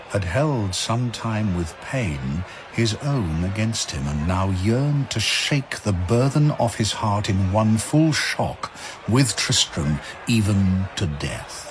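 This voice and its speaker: A man giving solemn, theatrical, measured narration of epic verse over atmospheric sound effects. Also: on the low side. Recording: clear ambient noise behind narration.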